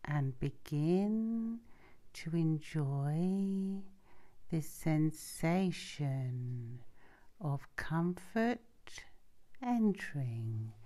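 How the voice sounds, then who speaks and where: A woman speaks extremely slowly in a mellow, fairly low voice. She is soft and breathy, often close to whispering, as she gently guides a sleep hypnosis session with soothing, drawn-out phrases. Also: animated.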